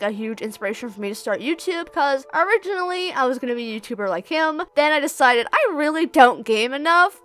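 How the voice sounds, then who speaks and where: A woman's voice: on the high side, fairly bright tone, clear, resonant tone. A woman casually reading out viewer questions and answering them in a rambling, giggly, self-deprecating way. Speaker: YouTube creator and amateur comic dub voice actor. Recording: music playing behind the voice. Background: background music.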